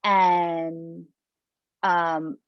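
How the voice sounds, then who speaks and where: A woman's voice: fairly low pitch, level tone, no room sound, lo-fi sound. A young woman, a TV news producer and reporter, introducing herself on a panel with many fillers and restarts. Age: young adult. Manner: unhurried, with frequent fillers and restarts.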